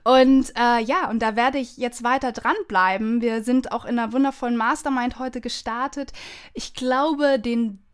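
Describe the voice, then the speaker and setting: Effusive high-voiced woman podcaster. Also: fairly even intonation, moderate pace, clear, resonant tone. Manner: effusive, gushing. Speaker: podcast host. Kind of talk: casual podcast chat.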